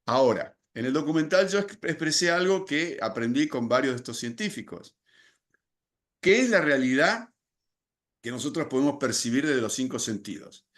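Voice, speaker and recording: Older argentine guy on a podcast, talks slow and thoughtful, lots of este and eh. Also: medium pitch, expressive, a bit bright. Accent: Argentine Spanish. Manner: reflective, explanatory, with filler words and restarts. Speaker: guest. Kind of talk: podcast interview.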